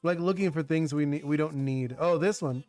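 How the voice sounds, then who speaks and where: A man's voice: fairly high pitch, moderate pace, a little mellow. Chatty young man, music faintly behind. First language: Spanish. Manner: chatty, rambling, lots of "like" and restarts. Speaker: streamer designing a card game. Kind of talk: casual live-stream chat with viewers.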